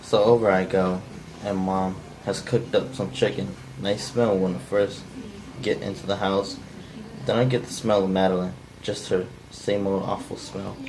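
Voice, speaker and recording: A man's voice: sits low, level tone, normal speed. A non-actor man cold-reading the part of an edgy, blunt, folksy killer in a script table read. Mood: matter-of-fact confession. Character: edgy, cold-blooded man confessing to killing. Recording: faint background noise.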